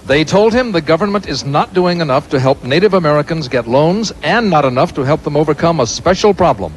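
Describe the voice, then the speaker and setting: A man reporting from the field as a news correspondent in a steady, clear reporting voice. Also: on the high side, brisk, slight background noise, poor audio.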